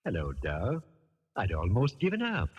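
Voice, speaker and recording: An actor playing a flamboyant, affectionate gentleman friend, theatrical and droll, in a 1940s radio crime comedy. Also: on the low side, huge pitch swings, moderate pace, husky, faint music behind, full character voice. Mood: fond, flamboyant. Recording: dull, muffled old radio recording.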